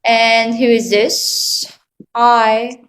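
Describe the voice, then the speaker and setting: Slow-speaking woman teacher on a video call. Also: fairly high pitch, flat delivery, a bit bright. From Philippines. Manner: slow, patient prompting questions. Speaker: English teacher. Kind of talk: online class teaching children.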